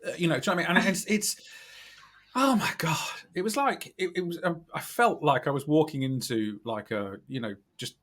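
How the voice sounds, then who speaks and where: A British man with a slightly scratchy, croaky voice, chatting casually as a podcast guest in a wry, self-deprecating, animated way. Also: a little high, brisk. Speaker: podcast guest, recruitment company director. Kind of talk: casual chat.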